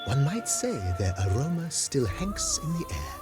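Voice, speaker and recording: An actor playing a courteous, proud majordomo in a video game, giving a guided tour in formal, precise, politely ornate speech. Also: male voice, on the high side, wildly swooping pitch, moderate pace. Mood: storytelling, wistful. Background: music.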